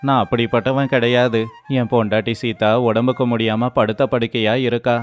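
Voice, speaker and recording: A man's voice: mid-range voice, flat delivery, fairly quick, natural voice. A man playing a poor, humble village labourer and devoted husband in a moral story, with a pleading, deferential manner. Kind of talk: dramatized moral story voice acting.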